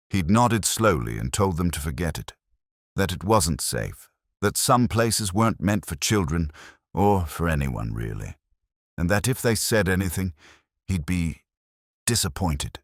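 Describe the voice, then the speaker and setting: A man playing a world-weary, observant middle-aged detective, narrating a mystery in a measured, literary, reflective way. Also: rumbling low, dry recording, studio quality, heavily acted. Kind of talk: scripted first-person mystery narration.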